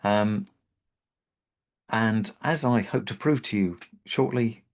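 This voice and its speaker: A British man with a very low voice leads an online session. He talks in a gentle, reassuring way and often pauses on ums or repeats a word before carrying on. He sounds like he is on a video call from a computer mic. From Britain. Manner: gentle, reassuring, with frequent fillers and repeated words. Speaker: practitioner leading a webinar. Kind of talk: online webinar teaching.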